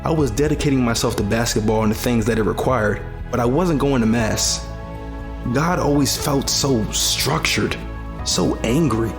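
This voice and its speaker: A man's voice: medium pitch, moderate pace, lightly acted. A man playing a weary, disillusioned young survivor in an audio drama, reflective and plain-spoken. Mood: bitter, questioning. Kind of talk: first-person audio drama narration and dialogue. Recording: loud music behind the voice. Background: music.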